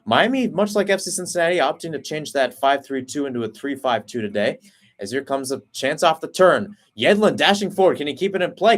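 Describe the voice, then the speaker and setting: A man giving live play-by-play commentary on a soccer match, keeping up a running call that rises and gets louder when attacks build. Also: on the high side.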